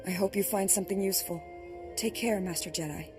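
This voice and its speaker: A woman's voice: mid-range voice, brisk, music in the background, natural voice. A woman playing an earnest, shaken research worker in a video game, with a dramatic delivery. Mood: urgent. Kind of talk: scripted video game acting.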